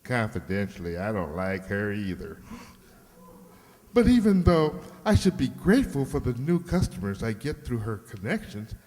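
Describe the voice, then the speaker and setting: An actor playing an effusive, flattering beauty-salon stylist in a flowery, affected manner, in a comic radio play. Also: male voice, on the low side, moderate pace. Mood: confiding. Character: gushing, theatrical male hairdresser, flattering and two-faced. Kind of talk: comic old-time radio sitcom acting. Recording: echoey hall with long reverb.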